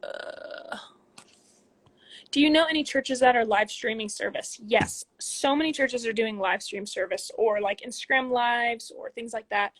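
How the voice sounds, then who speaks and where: A woman in her twenties, speaking from a room at home into a phone, chats casually with many ums as she reads out viewer questions and answers them, sometimes dropping to soft asides. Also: fairly high pitch, a little slow. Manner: reads comments aloud, chatty, frequent ums, drops into soft asides. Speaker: athlete hosting a live Q&A.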